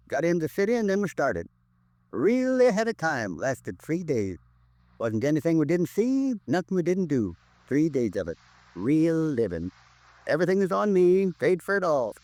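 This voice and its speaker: A man's voice: on the high side, darkish tone, no room sound. An actor playing a remorseful American robber in his seventies, rambling wistfully in a 1950s radio drama. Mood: wistful pride. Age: 70s. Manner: rambling, wistful, clipped folksy sentences. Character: weary, remorseful 72-year-old robber confessing to police.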